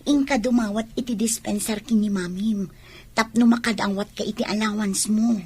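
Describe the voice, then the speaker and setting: An actress with an Ilocano Filipino accent playing a self-centred, demanding young college student with a husky voice in a radio drama. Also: female voice, mid-range voice, fairly even intonation, moderate pace, darkish tone, natural voice.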